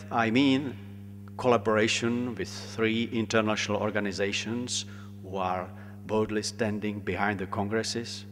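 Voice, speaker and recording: A man with a Czech accent speaks earnestly at a congress opening, his voice on the low side. His phrasing is slightly non-native. The podium mic carries into a long hall reverb with a faint hum underneath. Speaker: local congress organizer. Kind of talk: formal ceremonial speech. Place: large conference hall.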